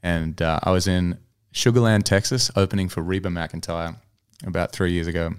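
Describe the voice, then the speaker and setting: A man's voice: deep voice, fairly even intonation, moderate pace, smooth. A man speaking as an interview guest, a singer-songwriter telling anecdotes in an easygoing, conversational way. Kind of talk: casual interview chat, anecdotes.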